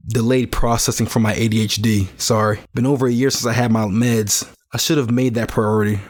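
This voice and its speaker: A man's voice: mid-range voice, little pitch movement, brisk, close to an everyday voice. A man playing a weary, disillusioned young survivor in an audio drama, reflective and plain-spoken. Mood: distracted, dry. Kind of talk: first-person audio drama narration and dialogue. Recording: loud music behind the voice. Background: music.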